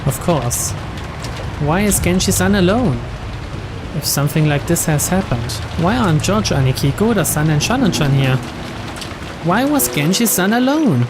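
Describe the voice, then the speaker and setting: Man narrating over loud music and rain. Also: sits high, sing-song, normal speed. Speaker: narrator reading a visual novel's translated text aloud. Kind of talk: dramatic scripted narration.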